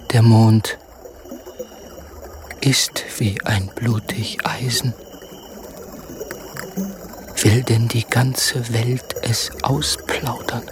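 An actor playing a tormented, brooding murderer in a radio drama, speaking haltingly and often dropping into muttered whispers. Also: male voice, mid-range voice. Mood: frantic, paranoid. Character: tormented, brooding man turned murderer of his lover. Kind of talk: literary radio drama acting.

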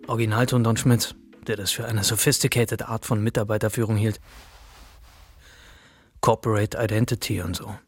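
A man's voice: fairly low pitch, fairly even intonation, moderate pace, long pauses, slightly rough. An actor playing a wry, colloquial courier employee narrating his workplace in a radio play. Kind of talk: scripted first-person narration in a radio play.

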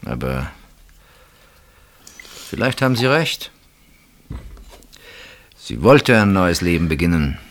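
An actor in a German radio play, playing a controlled, deliberate and dismissive husband who gives little away. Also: male voice, low-pitched, sing-song, heavily acted. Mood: placating. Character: cool, evasive husband of a missing woman.

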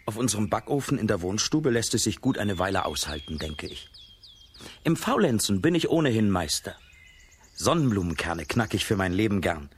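An actor playing a naive, lazy, good-natured peasant lad in a children's radio play, with a husky, wondering voice. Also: male voice, on the low side, normal speed, slight background noise. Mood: easygoing, curious. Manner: wondering, questioning, expressive.

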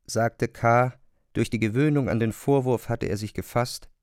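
A man's voice: mid-range voice, steady tone, moderate pace, no echo, clean studio sound. A man reading scripted literary narration in a radio drama.

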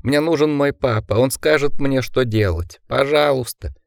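A man's voice: medium pitch, moderate pace, vocal fry, no room sound, clean studio sound, pitched above the performer's own voice, close to an everyday voice. A man voicing a feverish, frightened young man who pleads and whimpers. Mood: terrified.

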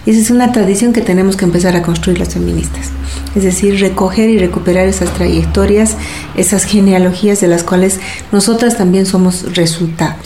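A woman's voice: medium pitch, fairly even intonation, brisk, mellow, a little hiss or hum. A woman giving reflective, analytical interview answers in academic wording, recorded in a roomy space. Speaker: feminist activist and social psychologist being interviewed. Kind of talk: spontaneous analytical interview talk.

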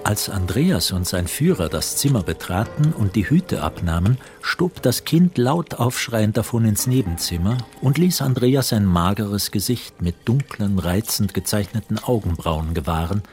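Very deep-voiced male literary narrator. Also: lively intonation, moderate pace, few pauses, a little mellow. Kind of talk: scripted literary narration for radio drama. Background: music.

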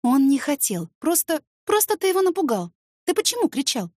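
A woman voicing a feisty but tender mother in an audiobook, expressive and easily flustered. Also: high-pitched, a little fast, no room sound, studio quality, voice pushed up. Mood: tender, reassuring. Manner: expressive, exclamatory, stammering when flustered.

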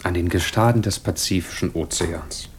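An actor in a German radio drama playing a wealthy master of the house, wry, evasive and given to flowery irony. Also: male voice, sits low, normal speed, a little hiss or hum, natural voice. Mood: urbane, flowery. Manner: wry, ironic, grandiloquent.

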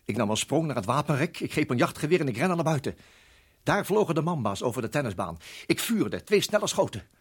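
An actor playing a tense, protective husband in a radio play, telling a dramatic story in measured, suspenseful phrases that drop to hushed tones. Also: male voice, on the high side, very animated, slightly rough. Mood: urgent. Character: Bob, husband recounting a deadly snake ordeal, protective, tense. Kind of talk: radio play acting, dramatic first-person narration.

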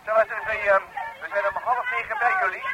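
A man's voice: high voice, steady tone, fast talker, natural voice. An actor playing a harried, wry father of unruly children in a Dutch radio play. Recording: very noisy old radio recording.

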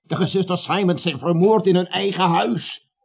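Gravelly, grim vigilante. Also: male voice, high-pitched, moderate pace. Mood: scornful, lurid. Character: grim, scornful male vigilante reciting lurid murder details. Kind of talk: comic radio play acting. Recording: dull lo-fi radio recording.

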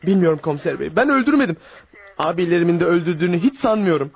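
A man's voice: high voice, expressive, very fast, creaky, husky, exaggerated voice. An actor playing a frightened young man in a radio play, pleading his innocence in an agitated, defensive way. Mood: panicked, pleading. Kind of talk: radio theatre acting.

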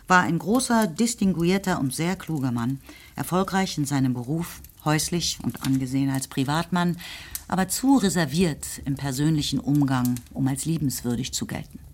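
A woman narrating a radio play in a calm, measured, lightly ironic way. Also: sits low. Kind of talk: scripted narration.

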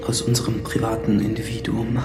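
An actor playing a bleak, coolly certain philosopher, speaking in measured aphorisms in a literary radio drama. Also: male voice, lowish voice, flat delivery, close to an everyday voice. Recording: echoey voice under loud music.

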